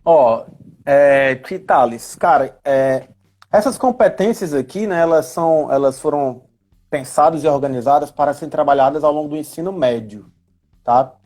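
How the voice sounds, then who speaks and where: A man's voice: a little high, normal speed, darkish tone. A man chats casually and didactically as a guest educator, often checking in with short tag questions. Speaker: guest educator answering questions on role-playing games. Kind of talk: casual explanatory live chat.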